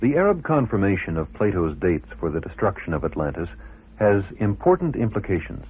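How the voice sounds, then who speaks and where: Male narrator, low and husky, scripted documentary commentary, lively intonation, muffled radio recording. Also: brisk.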